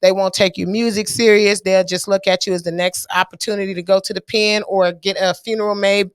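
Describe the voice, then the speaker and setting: A woman's voice: medium pitch, level tone, a little fast, clear, resonant tone, no room sound. An American woman in her thirties chatting casually as a podcast host, candid, slangy and freely swearing. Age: 30s. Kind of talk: casual chat.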